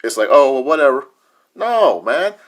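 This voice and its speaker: A man's voice: medium pitch, big pitch swings, moderate pace. A man with an American accent co-hosting a podcast in casual, colloquial talk, often checking that listeners agree with him. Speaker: podcast co-host. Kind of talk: casual opinionated panel talk.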